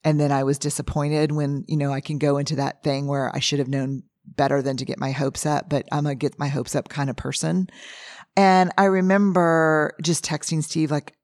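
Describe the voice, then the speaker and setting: A middle-aged woman whose voice is hoarse and husky today. She talks in a candid, lively way and acts out the things people say as she tells stories to her podcast listeners. The sound is dry and close to the mic. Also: low voice, normal speed, dry recording. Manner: candid, animated storytelling with acted-out quotes. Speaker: podcast host. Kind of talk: casual solo talk.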